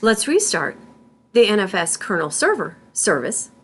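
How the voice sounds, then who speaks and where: A woman instructor reading scripted tutorial narration in a deliberate, step-by-step manner. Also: medium pitch, sing-song, fairly bright tone, husky. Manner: deliberate, spells out terms symbol by symbol.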